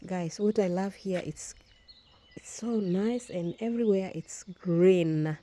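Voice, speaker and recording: A woman's voice: medium pitch, slow pace, warm tone, dry recording. A woman whose first language is Swahili, chatting casually in a vlog as she films her garden outdoors on a phone, often restarting her phrases. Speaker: vlogger. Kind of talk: casual walk-and-talk vlog.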